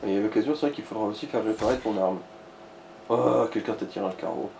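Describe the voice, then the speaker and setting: A man's voice: lowish voice, fast, creaky, dark tone, a little hiss or hum, poor audio. A man giving casual, slangy gaming advice in speech, explaining tactics with crude language. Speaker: let's play co-commentator advising the player.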